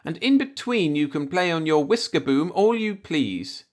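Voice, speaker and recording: A man's voice: a little high, lively intonation, moderate pace, voice pushed up, natural voice. A man voicing a firm, protective, motherly wife in a storybook reading, with an indignant, no-nonsense manner. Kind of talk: storybook character voice in narration.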